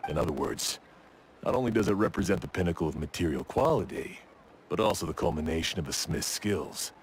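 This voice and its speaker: An actor playing a proud, exacting blacksmith in a video game, speaking in a measured, wry, matter-of-fact way. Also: male voice, low voice, expressive, slight background noise. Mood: earnest, reflective. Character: proud, exacting male blacksmith, gruff but obliging craftsman. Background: game music.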